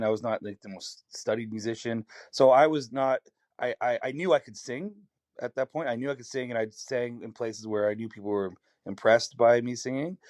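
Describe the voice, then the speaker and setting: Raspy canadian guy, lowish voice, rambles and restarts a lot, dry close sound. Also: a little mellow, no echo. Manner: candid, rambling storytelling with many restarts. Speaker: singer-songwriter guest. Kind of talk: podcast conversation.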